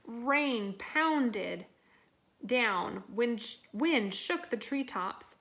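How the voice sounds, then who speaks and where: Woman, higher-pitched voice, unhurried, expressive children's storybook read-aloud, dramatic delivery. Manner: dramatic, deliberate delivery with acted sound words. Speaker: storybook reader.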